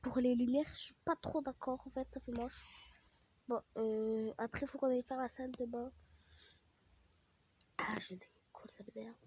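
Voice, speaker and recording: Stuffy-nosed French woman, slightly high voice. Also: fairly even intonation, normal speed, long pauses, darkish tone, a little hiss or hum. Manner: chatty, easily annoyed, muttering asides. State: stuffy nose from a cold, sniffling. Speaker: gaming vlogger. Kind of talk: casual game commentary.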